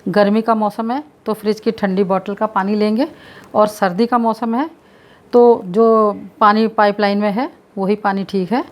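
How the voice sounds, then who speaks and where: A woman presenting health tips, calmly explaining a home remedy step by step while showing how it's done, repeating points as she goes. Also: mid-range voice, normal speed. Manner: explains with repetition while demonstrating. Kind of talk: demonstration lesson.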